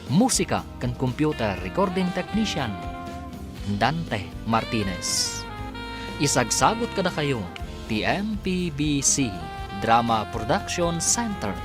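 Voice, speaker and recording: A man narrates a radio drama, announcing the credits and recapping the story in a measured, broadcast manner. His pitch moves a lot, and clear theme music plays behind him. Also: sing-song.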